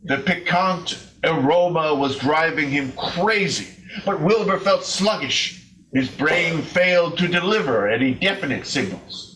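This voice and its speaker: A man reading a story in a measured, theatrical, suspenseful way, as a readers theater performer. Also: a little high, expressive, fairly bright tone. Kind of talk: dramatic storytelling to an audience. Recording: distant mic, stage show video.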